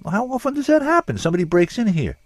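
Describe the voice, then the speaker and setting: A man's voice: high-pitched, huge pitch swings, quick pace, dry recording, pitched above the performer's own voice, heavily acted. A man voicing a nervous, flustered middle-aged male burglar in an audiobook, jumpy and whining as he protests his innocence. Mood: wheedling. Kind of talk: audiobook character voice.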